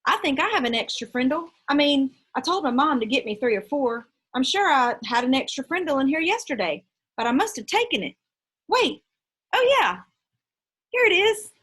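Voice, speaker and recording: Show-off fifth-grade boy, female reader: raised pitch, animated, overplayed storybook delivery. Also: normal speed. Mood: showy, excited. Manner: blurting, exaggerated searching delivery. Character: showy schoolboy making a big pretend fuss.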